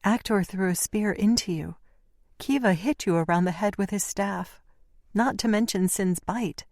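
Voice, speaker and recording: A man's voice: high-pitched, normal speed, creaky, darkish tone, no room sound, clean studio sound, voice pushed up. A man voicing a frightened, confused young woman in an audiobook, speaking anxiously in a raised voice. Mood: fearful. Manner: anxious, questioning.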